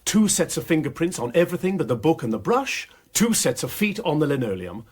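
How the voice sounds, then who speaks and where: Posh english gentleman detective, flippant and breezy, swoopy husky voice, radio play. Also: fairly high pitch, sing-song, a little fast. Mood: brisk, teasing. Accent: upper-class English. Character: flippant, breezy aristocratic English amateur sleuth. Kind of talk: radio drama acting.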